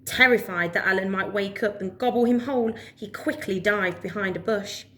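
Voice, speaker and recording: A woman reading a children's story aloud as a storyteller, with expressive narration. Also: mid-range voice, normal speed.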